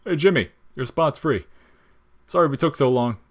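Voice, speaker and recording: A man's voice: medium pitch, expressive, normal speed, voice pushed up, natural voice. A laid-back skeleton wisecracker, performed by a man for an audio story. He talks in casual slang and tosses off jokes. His manner is easygoing and brotherly.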